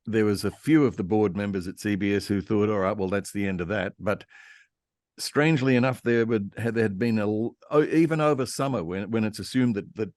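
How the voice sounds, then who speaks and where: A man's voice: on the low side, a little fast, darkish tone, no echo, studio quality. A man speaking as a guest historian in a conversational discussion, explaining things like a storyteller.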